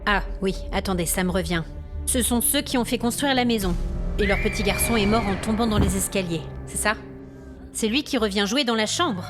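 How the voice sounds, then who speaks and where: A woman's voice: medium pitch, fairly quick, over music, lightly acted. A woman playing an exasperated, haughty hotel guest with complaints, in an acted audio fiction monologue. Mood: agitated, recounting. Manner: haughty, aggrieved, demanding. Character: indignant, exasperated female hotel guest complaining about ghosts.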